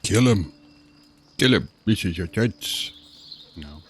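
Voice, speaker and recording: A man's voice: deep voice, expressive, slow pace, slight background noise. A man giving casual, offhand live commentary on a video game in short joking asides. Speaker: game streamer.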